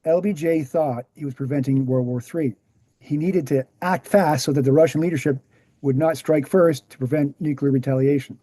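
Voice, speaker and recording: A man's voice: a little high, steady tone, moderate pace, mellow, dry recording, close to an everyday voice. A man reading the part of a confident, didactic explainer in scripted dialogue. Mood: assured. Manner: didactic, assured. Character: Gary, confident explanatory man debunking assassination myths. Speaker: actor in a screenplay table read.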